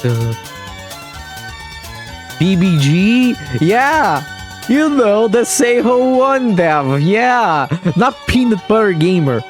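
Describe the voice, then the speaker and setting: A man commentating a live game stream in a slow, unhurried drawl, his voice rising and falling in an animated, lively way. He chats loosely with viewers and breaks into laughter often. Faint game music plays underneath. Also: high-pitched. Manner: chatty, reacts to chat, laughs often.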